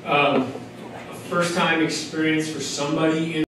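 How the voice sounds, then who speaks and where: A man's voice: a little high, level tone, moderate pace. A man in his thirties giving a conference presentation, casual and enthusiastic, with many fillers and restarts. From Colorado. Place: large hall with an audience. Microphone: podium mic, filmed from far back. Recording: echoey hall from far off, noisy.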